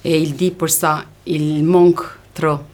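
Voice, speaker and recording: A woman from Albania, speaking hesitant, halting French as a learner. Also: sits low, flat delivery, darkish tone, slight background noise. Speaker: language learner.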